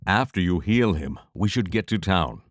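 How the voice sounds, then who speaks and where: A man playing a steady, practical, protective adventuring companion in a fantasy audio drama. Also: low voice, normal speed, dry recording, clean studio sound. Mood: matter-of-fact.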